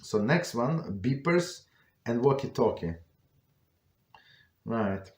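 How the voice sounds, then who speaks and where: A man giving an informal religious lecture as a rabbi, in a halting way that repeats words and checks in with rhetorical questions. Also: mid-range voice, animated, darkish tone, a little hiss or hum. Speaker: rabbi teaching a class. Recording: echoey room.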